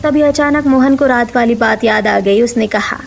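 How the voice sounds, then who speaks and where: A woman's voice: a little high, normal speed, vocal fry. A woman narrating a scripted story as a storyteller.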